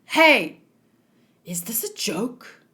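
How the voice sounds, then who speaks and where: A woman's voice: a little high, big pitch swings, very slow, pushed and bright, exaggerated voice. Woman doing an angry, incredulous young soldier boy. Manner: incredulous, confrontational.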